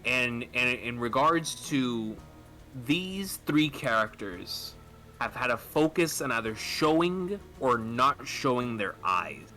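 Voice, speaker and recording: Guy on a podcast, bright punchy voice, excited halting fan rambling, bit of music underneath. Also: mid-range voice. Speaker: podcast speaker discussing manga theories. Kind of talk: casual fan discussion.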